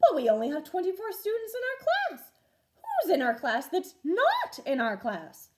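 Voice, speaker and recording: A woman's voice: very high-pitched, wildly swooping pitch, moderate pace, pitched above the performer's own voice, exaggerated voice. A woman voicing an upbeat, organized but easily flustered female schoolteacher in a children's story, with an emphatic way of talking. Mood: alarmed.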